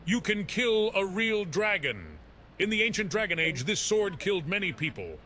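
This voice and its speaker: Guy doing agitated old hermit from a fantasy game, high bright voice swinging all over, rambling. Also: sing-song, moderate pace, a little hiss or hum, exaggerated voice. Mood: frantic, urgent. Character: frantic, paranoid old man in hiding. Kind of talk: fantasy video game dialogue.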